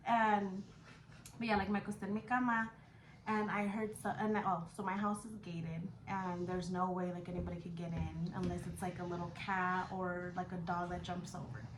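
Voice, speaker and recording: A woman's voice: fairly low pitch, little pitch movement, normal speed. Slangy young woman, echoey room. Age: 20s. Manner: slangy, switches between English and Spanish, swears casually. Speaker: vlogger telling a personal story. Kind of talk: casual chat storytelling. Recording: echoey room with background noise.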